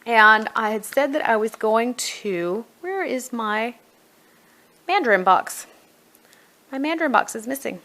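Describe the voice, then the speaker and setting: A woman's voice: fairly high pitch, animated, measured pace, pauses often, pushed and bright. A middle-aged woman giving a casual product walkthrough as a reviewer, conversational and thinking aloud as she goes. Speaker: homeschool curriculum reviewer.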